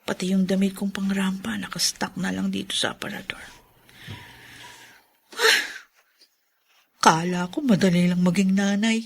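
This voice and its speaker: A Filipino woman acting a weary, fretful young mother in a radio drama, expressive and easily exasperated. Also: mid-range voice, measured pace, gravelly, pauses often, slightly rough. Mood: tired, sighing. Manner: expressive, exasperated when pressed.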